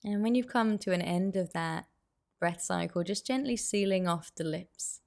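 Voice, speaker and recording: A woman guiding a meditation as a teacher, giving soothing, unhurried instructions with pauses. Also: on the low side, creaky, darkish tone. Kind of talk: guided meditation.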